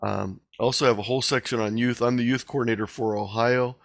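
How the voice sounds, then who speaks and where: A man with a mid-pitched voice gives an informal online presentation. The sound is dry, with very little room in it. He explains things in a conversational way, like someone fielding questions. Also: fairly even intonation, fast, vocal fry, dry recording. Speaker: guest presenter. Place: online video meeting.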